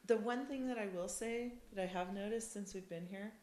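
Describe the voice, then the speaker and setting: A woman from the United States, chatting casually in an interview, with many ums and lively storytelling. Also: medium pitch, fairly quick, roomy sound. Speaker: interviewee, rider's partner.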